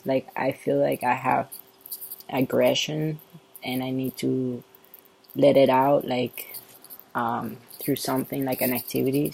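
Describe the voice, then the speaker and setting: A man with a soft, androgynous-sounding voice, talking through a casual update vlog in a relaxed, unhurried way. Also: mid-range voice, creaky, slightly rough, poor audio. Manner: relaxed and unhurried, with frequent ums. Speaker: vlogger.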